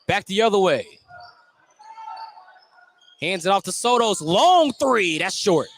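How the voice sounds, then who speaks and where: An American man doing live radio play-by-play of basketball, with clipped running descriptions and drawn-out calls on baskets. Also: high voice, sing-song, a bit bright. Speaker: play-by-play commentator. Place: courtside in a basketball gym. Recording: some noise, gym ambience.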